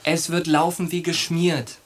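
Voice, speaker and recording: A man's voice: a little high, little pitch movement, a little slow, background noise, natural voice. An actor playing a brash, cocky young soldier in a German radio drama, coarse and pushy with his boyhood friend. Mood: coaxing.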